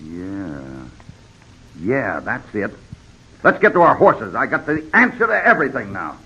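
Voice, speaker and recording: An actor playing a steady, resolute American cowboy hero in a vintage radio western, speaking with firm, deliberate authority. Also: male voice, mid-range voice, background noise. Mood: determined. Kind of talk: 1940s-50s radio western acting. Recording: old radio recording, reverberant and muffled.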